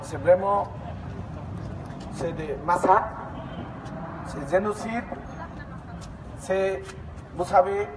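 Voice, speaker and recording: A man addresses a street protest in a high, unhurried voice. He halts and restarts a lot, speaking with earnest weight. The recording is noisy, with the hum of a city street behind him. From Bangladesh. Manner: halting, earnest, many fillers and restarts. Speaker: human rights association president. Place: outdoors on a city square at a protest.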